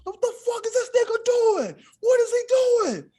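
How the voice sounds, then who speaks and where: A man acting out a furious, exasperated teenage driver who bossily yells and repeats himself in a comic story. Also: very high voice, sing-song, normal speed, voice pushed up. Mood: alarmed, angry.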